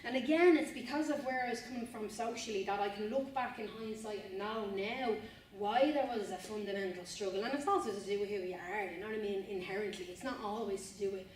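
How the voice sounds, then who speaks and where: A woman's voice: medium pitch, fast talker, a little hiss or hum. A young Irish woman giving an informal talk to students, earnest and rambling, repeating and restarting phrases. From Ireland. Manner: earnest, rambling, repeats and restarts phrases, says "you know what I mean". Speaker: spoken word artist giving a talk. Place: university room, indoors. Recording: distant, very echoey room with faint ambience.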